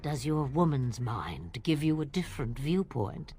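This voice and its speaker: A sly old witch, riddly and teasing, pitch swooping around, slow. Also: big pitch swings, warm tone, exaggerated voice. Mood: probing. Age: older. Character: cryptic old swamp witch, mocking and sly.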